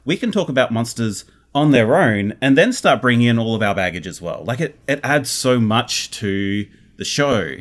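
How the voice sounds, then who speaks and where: A man speaking as an interview guest in casual conversation, explaining his ideas earnestly and with emphasis. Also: fairly low pitch. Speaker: interview guest, audio drama creator.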